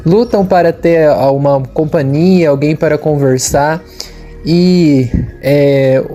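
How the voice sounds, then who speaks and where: A man's voice: a little high, warm tone. A young man from northern Paraná with a caipira Portuguese accent, hosting a history podcast in an informal, hesitant way, with many fillers and restarts. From Norte Pioneiro, Paraná, Brazil. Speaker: podcast host, history student. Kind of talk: informal spoken podcast narration.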